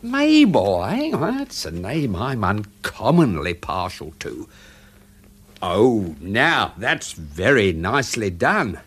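A British manservant turned amateur detective in a radio drama. He is meticulous, chatty and gently flirtatious, with hugely varied intonation as he patiently instructs. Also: fairly low pitch, wildly swooping pitch, takes it slowly, slightly rough, heavily acted. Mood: genial, coaxing.

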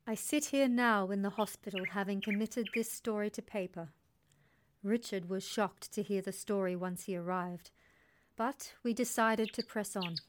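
A woman's voice: medium pitch, a little hiss or hum, natural voice. A woman playing a resolute young settler mother, telling her story in intense, dramatic first-person narration. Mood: subdued, resolute. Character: resolute young settler mother recounting a wild dog attack.

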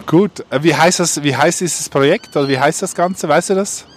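A man conducting spontaneous street interviews, asking questions in a halting, informal way. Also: fairly high pitch. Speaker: podcast interviewer. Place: outdoors on an urban street. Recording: street noise, traffic around.

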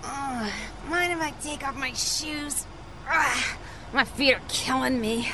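A woman's voice: high voice, slow, background noise. An actress playing a brash, plain-spoken young woman hitchhiker in a suspense radio drama, with a slangy, blunt way of talking. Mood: breezy, chatty.

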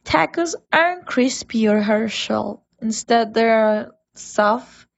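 A woman from Mexico speaking English with a Mexican Spanish accent, deliberate and plain as she explains. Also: a little high, expressive, vocal fry. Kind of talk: casual guest explanation. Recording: dull lo-fi recording.

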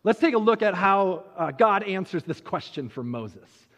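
A man preaching a sermon, telling a Bible story conversationally with dramatic pauses and rhetorical questions. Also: high-pitched, sing-song, fairly bright tone. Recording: muffled audio with long hall reverb.

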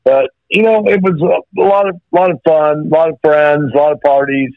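A man's voice: a little high, steady tone, moderate pace, no echo. Rambling male musician on a dull phone line. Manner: rambling, many restarts and fillers. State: slightly rough voice after rehearsal. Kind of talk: phone interview, reminiscing. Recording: phone call, dull and dry.

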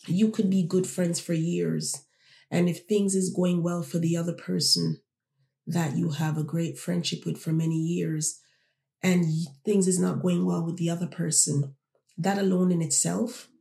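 Gravelly, mellow-voiced podcast host. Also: medium pitch, reverberant. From born in Jamaica, raised in Toronto. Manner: reflective, conversational, addresses listeners directly. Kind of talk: casual solo podcast talk.

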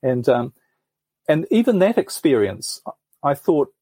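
Husky New man, earnest storyteller. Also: on the high side, very animated, a little slow, mellow, no room sound. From New Zealand. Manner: earnest, thoughtful storytelling with frequent restarts. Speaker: podcast guest. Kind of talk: reflective life story in an interview.

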